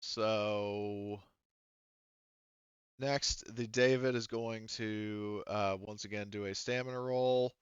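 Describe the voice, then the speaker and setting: A man narrating a tabletop roleplaying game as game master, conversational and halting as he improvises. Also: fairly low pitch, fairly even intonation, clear, resonant tone, dry recording, lo-fi sound.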